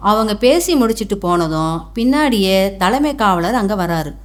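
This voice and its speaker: A woman narrator reading a scripted animated moral story. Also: medium pitch, normal speed, faint music behind.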